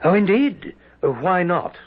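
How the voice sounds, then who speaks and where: An imperious British general in an old radio drama, with a husky voice that swings dramatically from high to low. He is commanding, wry and deliberately theatrical. The 1970s recording is dull and lo-fi. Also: sing-song, heavily acted. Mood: stern, impatient.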